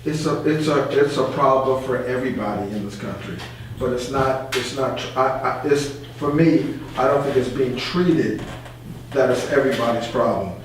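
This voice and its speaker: A man explaining things in conversation as a guest, halting and deliberate as he works through his points. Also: mid-range voice. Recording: distant, very echoey room, faint noise.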